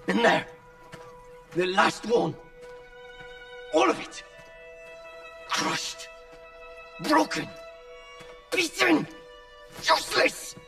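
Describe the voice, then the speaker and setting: A man voicing a frightened, bitter pilot who speaks sarcastically and breaks into desperate shouts. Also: sits high, vocal fry, recorded from a distance, full character voice. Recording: game audio with music, off-mic.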